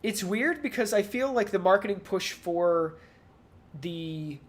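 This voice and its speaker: A man's voice: high-pitched, expressive, unhurried. A man co-hosting a casual talk show about video games, thinking aloud in rambling analysis and slipping into mock quotes. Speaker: podcast co-host. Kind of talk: casual video game industry discussion.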